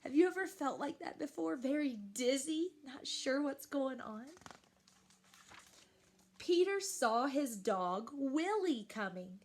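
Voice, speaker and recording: A woman reading a picture book aloud to young children, expressively and with questions to the listeners. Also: sits high. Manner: animated, slow and deliberate, addressing young children.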